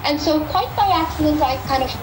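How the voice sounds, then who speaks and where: A middle-aged woman from Pakistan, a physicist answering interview questions thoughtfully and pausing to repeat words as she forms her ideas. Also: high voice, echoey room, over music, poor audio.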